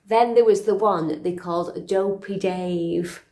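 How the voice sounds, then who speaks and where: In a roomy, echoing space, a woman acts a middle-aged fairytale princess looking back on her life. She talks slowly and confidingly, with dry, understated wit and a weary edge, as in an intimate stage monologue. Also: medium pitch, steady tone, a little mellow, natural voice. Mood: wry, confiding. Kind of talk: dramatic monologue, conversational.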